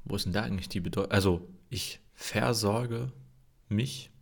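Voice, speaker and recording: A man's voice: lowish voice, normal speed, creaky, slightly rough. A man with a slightly nasal cold hosting a casual devotional livestream, rambling loosely with many fillers and restarts and reading Bible verses to his viewers. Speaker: host of a daily Bible livestream.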